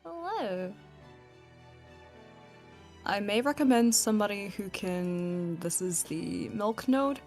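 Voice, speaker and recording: Unhurried woman in casual chat. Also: medium pitch, expressive, darkish tone, soft background music.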